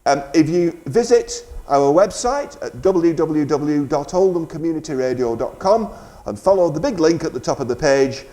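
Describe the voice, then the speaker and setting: A man's voice: medium pitch, warm tone. A man presenting live community radio, making a fundraising announcement in an informal, hesitant, bantering way.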